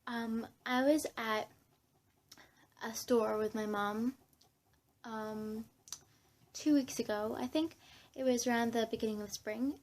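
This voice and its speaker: A woman's voice: fairly high pitch, fairly even intonation, slow, pauses often, darkish tone. A young woman chatting casually to camera as a vlogger, in a scattered, giggly way, often interrupting herself. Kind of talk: casual rambling chat to camera.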